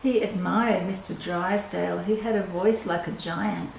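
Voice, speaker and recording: An older woman reading a children's storybook aloud in a measured, expressive way. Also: on the low side. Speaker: storyteller reading a picture book. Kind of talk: scripted storybook narration for children. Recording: roomy echo with clear background noise.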